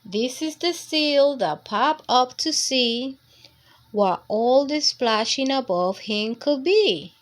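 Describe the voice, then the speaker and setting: A woman's voice: high-pitched, lively intonation, slow pace. A woman reading a picture book aloud as a storytime narrator for young children.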